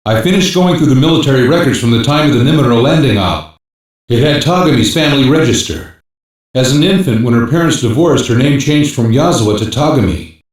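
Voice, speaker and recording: An actor playing a level-headed male police intelligence analyst, explaining findings in a measured way in dubbed anime game dialogue. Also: medium pitch, animated, lightly acted. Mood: measured, serious. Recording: very echoey, distant from the mic.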